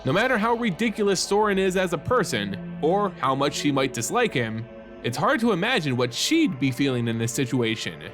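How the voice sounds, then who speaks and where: Guy narrating fantasy stories, really animated delivery, bit of music behind him. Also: fairly high pitch, normal speed. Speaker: host of a fantasy lore channel. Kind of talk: dramatic scripted story narration.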